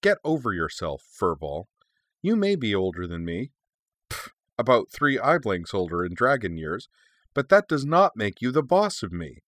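A man's voice: on the low side, huge pitch swings, normal speed, a bit bright, no room sound. A man voicing a sassy young female dragon in a story, with a sarcastic, snappy, defiant manner. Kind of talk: expressive storybook character reading.

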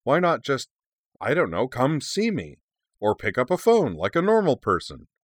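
A man's voice: lowish voice, very animated, normal speed, a bit bright, dry recording, clean studio sound. A man voicing a sassy young female dragon in a story, with a sarcastic, snappy, defiant manner. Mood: outraged. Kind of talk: expressive storybook character reading.

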